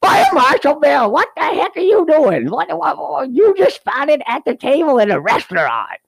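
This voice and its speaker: A man's voice: very high-pitched, huge pitch swings, moderate pace, gravelly, no echo, pitched above the performer's own voice, exaggerated voice. A man voicing a scolding older woman who nags her sweetheart.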